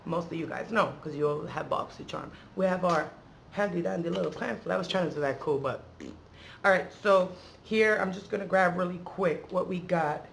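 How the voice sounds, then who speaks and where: Playful woman vlogger with a creaky voice. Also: fairly low pitch, moderate pace, a little hiss or hum. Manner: playful, slangy, rambling with asides. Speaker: beauty vlogger and channel host. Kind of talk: casual chatty unboxing vlog.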